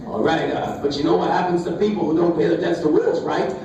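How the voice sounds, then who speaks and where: A man's voice: on the high side, a little fast, mellow, highs cut off, close to an everyday voice. A man voicing a nice, businesslike wolf in a comic fairy tale, casual and matter-of-fact. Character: nice businesslike male wolf running a daycare. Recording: echoey, distant, noisy live recording.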